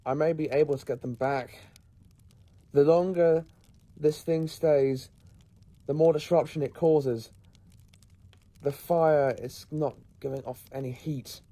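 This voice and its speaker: A man playing an analytical, protective professor in an audio drama, measured and musing aloud. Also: on the high side, fairly even intonation, dark tone, no room sound. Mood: thoughtful, grave. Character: calm, analytical male professor and protector battling the supernatural.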